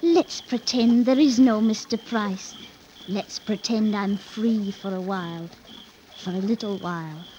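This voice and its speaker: A woman's voice: mid-range voice, a little slow, natural voice. An actress playing a spirited, determined and romantic young servant girl in a 1950s radio drama, theatrical and insistent. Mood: coaxing. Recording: muffled, noisy vintage radio recording.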